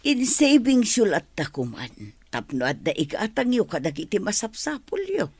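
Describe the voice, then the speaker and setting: A woman's voice: medium pitch, huge pitch swings, moderate pace, a little mellow, breathy edge. A woman with an Ilocano accent acting as a thrifty, questioning older village mother in a radio drama. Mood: disapproving.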